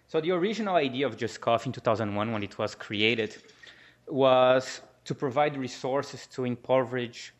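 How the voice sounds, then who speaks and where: Brazilian man, low monotone, academic presenter, hesitant with restarts, dull lo-fi sound. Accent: Brazilian Portuguese. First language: Portuguese. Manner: halting, frequent restarts and fillers. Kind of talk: conference talk.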